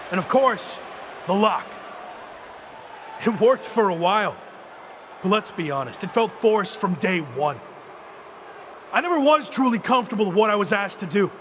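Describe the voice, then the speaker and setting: A man's voice: high voice, a bit bright, a little hiss or hum. A man playing an aggrieved, confrontational young wrestler, a former champion, in a video game cutscene.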